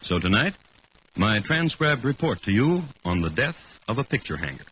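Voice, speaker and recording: An actor playing a dry, deadpan American crime connoisseur, narrating murder tales in vintage radio style. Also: male voice, mid-range voice, breathy edge, rough recording. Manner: deadpan, droll, deliberate. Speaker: host and narrator. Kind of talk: 1950s radio scripted narration.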